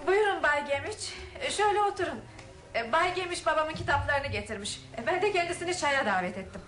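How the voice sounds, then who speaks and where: An actress playing a polite, curious, quick-witted young upper-class woman in a Turkish radio drama. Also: sits high, lively intonation, music in the background. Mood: polite, hosting. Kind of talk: Turkish radio theater acting.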